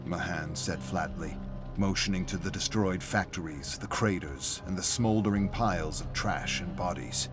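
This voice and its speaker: A man's voice: sits low, normal speed. A man reading scripted story narration in a dramatic style. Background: music.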